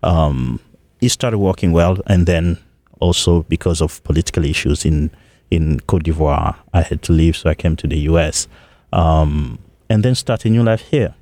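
Deep mellow-voiced congolese guy, talks slow w lots of ums. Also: no room sound. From eastern Congo. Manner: measured, pauses often with fillers. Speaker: guest. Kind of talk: interview conversation.

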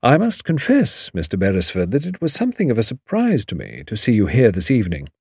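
A man voicing a shrewd, grave older English lawyer in an audiobook, measured, precise and formal. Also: lowish voice, wildly swooping pitch, creaky, a little mellow, breathy edge, dry recording, studio quality, exaggerated voice. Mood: cool, formal. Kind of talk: audiobook character dialogue.

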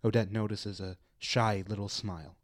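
A man narrating a radio drama, reading stage directions in a deliberate, literary storytelling manner. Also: fairly low pitch, fairly even intonation, dry recording, clean studio sound.

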